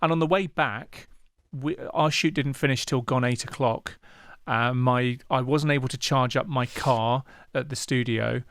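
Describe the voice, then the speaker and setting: A man's voice: medium pitch, steady tone, a little slow, hardly any pauses, fairly bright tone, smooth, no room sound, studio quality. A British man chatting casually as a podcast co-host, explaining things in a conversational, stop-start way.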